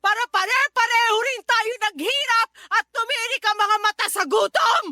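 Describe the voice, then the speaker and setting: Very high-voiced, indignant Filipino wife. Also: female voice, moderate pace, bright, forceful tone. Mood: indignant, angry. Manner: pleading, reproachful, emotional. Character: hurt, indignant ailing wife and mother, middle-aged. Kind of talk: Filipino radio drama acting. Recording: faint music bed behind voice.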